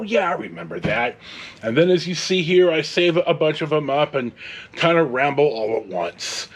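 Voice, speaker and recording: A man in his sixties with a Californian American accent, telling a casual, meandering story as host, with digressions and mild swearing. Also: a little high. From California, United States. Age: 60s. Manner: meandering, digressive, mildly profane.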